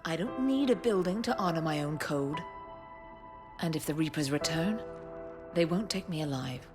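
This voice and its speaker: An actress playing a devoted young daughter in a video game, speaking with earnest, open emotion. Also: female voice, low voice, lively intonation, moderate pace, music in the background. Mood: quiet resolve. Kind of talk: dramatic video game acting.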